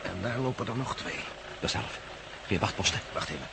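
A determined landowner on the lookout in a radio play. His voice is fairly low, and he speaks in terse, watchful bursts. Clear background noise surrounds him. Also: expressive, moderate pace. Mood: determined, tense. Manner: terse, short observations. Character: determined, watchful male landowner spying on intruders. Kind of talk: radio drama acting. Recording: noisy radio play, outdoor ambience.

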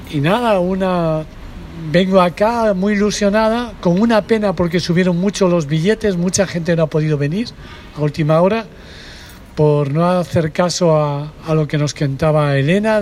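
A woman's voice: lowish voice, a little slow, darkish tone, a little hiss or hum. A woman from the Basque Country, a community radio presenter, introducing herself to a group in a chatty, hesitant way. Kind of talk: casual self-introduction to a group.

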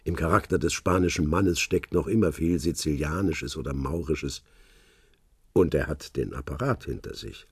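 An actor playing a cryptic old retired secret-service man who talks in measured hints. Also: rumbling low, husky, no room sound, studio quality, full character voice. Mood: calm. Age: older. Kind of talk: radio play acting.

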